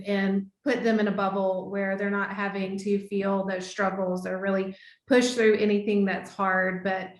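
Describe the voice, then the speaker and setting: A woman hosting a podcast interview, encouraging and quick to laugh. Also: mid-range voice, reverberant, low-quality recording. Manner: warm, laughing, encouraging listener. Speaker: podcast host. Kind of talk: casual interview chat.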